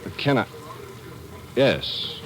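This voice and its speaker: An actor playing an earnest, heartfelt Israeli airline part-owner and former refugee in a 1950s radio drama. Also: male voice, extremely slow. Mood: grave, worried. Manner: earnest, heartfelt, hesitant at times. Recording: vintage radio recording with background noise.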